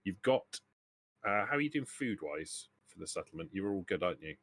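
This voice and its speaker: A man's voice: low voice, big pitch swings, fairly quick, a bit bright, breathy edge. A man acting as game master, explaining rules and options to players in a tabletop roleplaying game.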